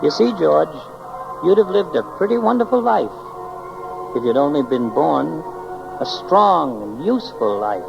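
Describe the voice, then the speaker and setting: Casting: gentle guardian angel, highish creaky voice, solemn radio-play delivery, muffled old broadcast. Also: male voice, big pitch swings, unhurried, full character voice. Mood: gently solemn. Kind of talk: 1940s radio acting. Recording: muffled 1940s radio broadcast, music behind.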